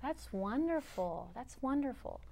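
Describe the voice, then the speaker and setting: A woman hosting a TV book interview, full of repeated praise. Her voice sits fairly high and swings widely in pitch, and she speaks slowly, with faint music behind her. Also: animated, gravelly, warm tone. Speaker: host.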